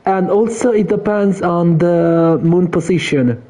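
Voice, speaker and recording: Italian guy streaming, slow n mellow, answers chat, hunts for words. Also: high-pitched. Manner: explains slowly, answering viewers, searching for words. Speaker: game streamer. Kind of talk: casual live stream chat.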